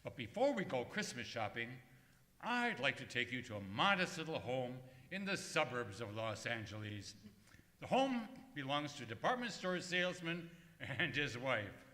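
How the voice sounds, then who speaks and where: A man playing a booming, genial announcer of a vintage radio comedy show, projecting in a declamatory style. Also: big pitch swings, normal speed, sharp tone. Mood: storytelling. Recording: echoey hall live stage recording.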